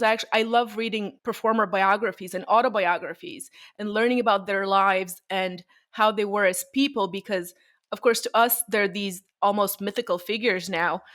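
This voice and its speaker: A Bulgarian-born woman speaks as a guest on an interview show. She tells stories about her career in a friendly, conversational way. Her talk is mixed with frequent small laughs. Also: medium pitch. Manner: warm storytelling, laughs often. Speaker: violinist guest.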